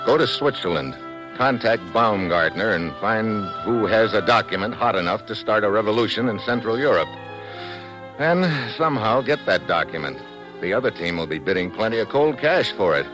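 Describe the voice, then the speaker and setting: An actor playing a hard-boiled, wisecracking American government agent in a 1950s radio drama, wry and slangy. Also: male voice, fairly low pitch, lively intonation, moderate pace, over music, lightly acted. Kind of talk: 1950s radio acting, hard-boiled first-person narration. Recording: vintage 1950s radio recording.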